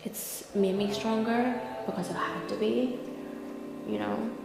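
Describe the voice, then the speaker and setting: A woman's voice: mid-range voice, fairly even intonation, unhurried. A young woman with a Belfast Northern Irish accent talks candidly in an interview, conversational and peppered with "like" and "you know". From Belfast, Northern Ireland. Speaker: interview guest, lawyer and content creator. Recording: echoey reverberant room, clear music behind. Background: music.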